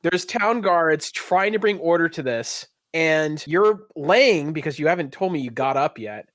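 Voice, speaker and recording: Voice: mid-range voice, animated, measured pace, no echo. A game master improvising tabletop narration and casual banter in a teasing, profane, dramatic way of phrasing.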